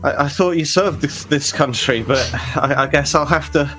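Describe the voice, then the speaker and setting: A man acting out a boy in a tabletop game, raised a little in pitch, halting and put-upon, laying on a sorry, sickly act. Music sits behind the voice. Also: a bit bright, close to an everyday voice, poor audio. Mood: sulky sarcasm. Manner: halting, stammering, over-apologetic. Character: boy feigning thirst and sickness, pleading then sulkily sarcastic. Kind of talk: tabletop role-play acting.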